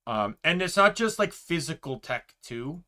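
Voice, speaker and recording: Young man, streamer, loud voice, rambling, bright tone. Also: on the high side, sing-song, unhurried. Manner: rambling, many fillers and restarts, emphatic. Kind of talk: casual rambling stream chat.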